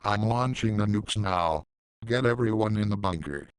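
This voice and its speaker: A man's voice: lowish voice, normal speed, clean tone, dry recording, close to an everyday voice. A man playing a brusque, sardonic facility commander in an amateur audio drama. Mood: commanding.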